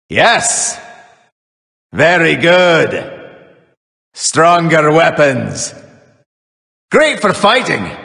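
Booming gravelly warrior god, high-pitched. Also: male voice, big-room sound, exaggerated voice. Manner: bombastic, booming battle shouts and taunts. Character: boastful, battle-hungry Viking-styled rain and thunder god warrior. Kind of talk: video game character voice lines.

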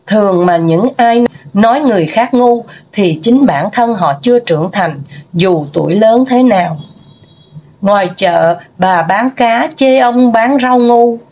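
A woman's voice: mid-range voice, darkish tone. Female audiobook narrator, wry conversational delivery, faint noise floor. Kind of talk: reading a humorous memoir aloud.

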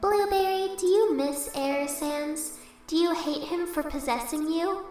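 A woman's voice: high-pitched, measured pace, a little mellow. A woman voicing an unseen, curious fan who puts questions to the hero in a comic dub. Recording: very echoey, music clearly behind.

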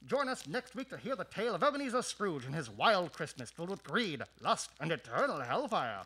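A man's voice: high-pitched, big pitch swings, brisk, bright, forceful tone, husky, heavily acted. Man acting a swooping, theatrical radio narrator. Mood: festive, mock-jolly. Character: cheery narrator of a darkly comic Christmas radio tale. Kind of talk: radio drama announcer narration.

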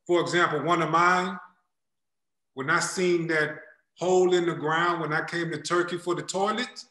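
A man co-hosts a podcast over a video call, asking questions in a relaxed, chatty way. His voice is bright and a little high, with a roomy echo around it. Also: normal speed. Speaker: podcast co-host. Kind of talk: casual interview questions. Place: indoor room. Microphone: video call webcam mic.

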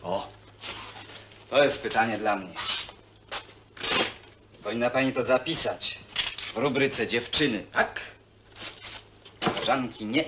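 An actor playing a cocky, bitter young hostel worker who talks in a sarcastic, needling, colloquial way in a film drama. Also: male voice, medium pitch, slow, long pauses, close to an everyday voice. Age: young adult. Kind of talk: naturalistic film dialogue. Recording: noisy old film soundtrack with clicks.

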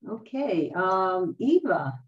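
A woman moderating a video meeting, briskly calling on each person in turn. Her voice sounds distant from the mic, and the audio is dull and lo-fi. She keeps things moving along. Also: lowish voice, sing-song. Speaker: meeting host.

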